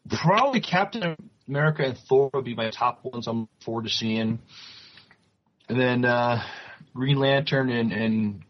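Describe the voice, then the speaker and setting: A man co-hosting a podcast, reading news and chatting, with halting delivery when reading aloud. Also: medium pitch. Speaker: podcast co-host. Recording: muffled, dull lo-fi audio.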